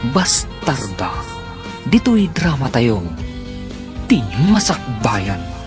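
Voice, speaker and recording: A formal radio drama announcer reading recaps and credits over a clear music bed. The voice has lively intonation and a steady, announcing manner. It is broadcast narration, not casual talk. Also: medium pitch, very slow.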